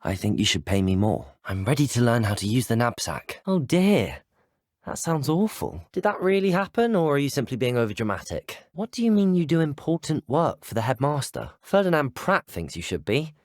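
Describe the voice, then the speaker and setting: A young man with a British accent playing a polite, curious, self-assured teenage wizard student in a video game, courteous and gently wry. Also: medium pitch, very animated, moderate pace, no room sound, studio quality, lightly acted. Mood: urgent, animated. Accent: British English. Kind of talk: video game character voice acting.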